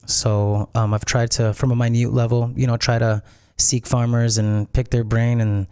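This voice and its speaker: A man from Peru, a restaurant founder talking casually as an interview guest, pausing often with fillers and restarts. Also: medium pitch, level tone, warm tone, clear, resonant tone, no echo, clean studio sound. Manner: hesitant, many fillers and restarts.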